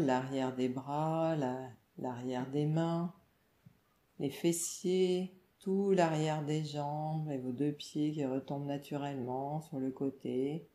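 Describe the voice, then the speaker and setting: A woman meditation teacher leading a guided relaxation in a calm, soothing, instructional way, with short phrases and pauses. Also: low-pitched. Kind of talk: guided yoga nidra relaxation narration. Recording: roomy, echoing voice recording.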